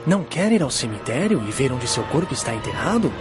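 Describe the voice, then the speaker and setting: A male actor voices a calm, kindly spirit guide with a Brazilian accent. His mid-pitched voice reassures gently in dramatized audiobook dialogue, with music behind it. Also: fast talker, natural voice. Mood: calm, reassuring.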